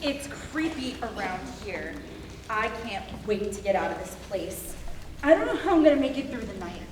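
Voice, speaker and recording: A woman's voice: mid-range voice, big pitch swings, normal speed, close to an everyday voice. A woman playing a frightened, fretful new prison inmate in a staged reading of a musical. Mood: anxious. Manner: anxious, fretful. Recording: echoey room, distant mic, faint noise.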